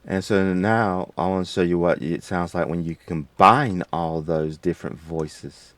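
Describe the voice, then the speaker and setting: A man hosting a casual, unscripted software tutorial in a rambling, folksy way. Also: sits low, fairly even intonation, measured pace, no room sound. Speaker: tutorial host.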